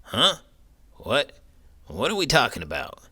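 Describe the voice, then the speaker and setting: A man's voice: mid-range voice, wildly swooping pitch, unhurried, pushed and bright, husky, voice pushed up, exaggerated voice. A man voicing a blunt, food-loving old cowboy sidekick in comic banter on a children's show. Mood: confused. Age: older.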